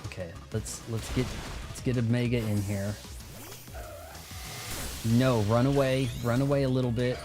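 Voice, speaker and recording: A man's voice: medium pitch, steady tone, a little slow, pauses often. A man giving casual, rambling let's play commentary on a video game, talking to himself as he goes. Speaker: streamer playing a video game. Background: game music.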